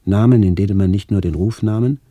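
A middle-aged man reading a literary memoir for radio in a measured, sober way, with a gravelly voice. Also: fairly low pitch, mellow, dry recording. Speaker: author-narrator of a memoir radio play. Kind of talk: scripted literary narration, reminiscence.

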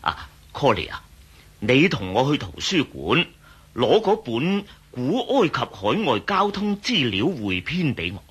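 A man playing an absent-minded, scholarly professor in a radio drama, hesitant and muttering, with a raspy, husky voice. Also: mid-range voice, big pitch swings, unhurried, a bit bright, exaggerated voice. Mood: thoughtful. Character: absent-minded, scholarly male Egyptology professor, easily excited. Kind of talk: Cantonese radio drama acting.

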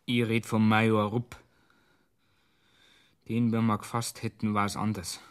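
A man's voice: on the low side, little pitch movement, measured pace, clear, resonant tone, close to an everyday voice. A man plays a bitter Bavarian police inspector in a radio drama. He speaks tersely and under his breath, with dry sarcasm and a sullen temper. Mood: bitter muttering.

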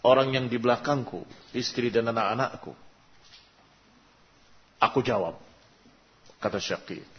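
A man with an Indonesian accent giving an Islamic sermon, teaching in short measured phrases as he explains a religious text line by line. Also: mid-range voice. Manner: didactic, reciting quotations then translating and repeating in short measured phrases. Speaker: Islamic preacher. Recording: dull, lo-fi sound.

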